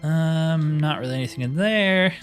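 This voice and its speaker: A man's voice: fairly high pitch, normal speed, faint music behind. A man giving casual let's-play commentary, chatting and thinking aloud as he plays a fantasy game. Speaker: gaming YouTuber playing a fantasy roleplay game.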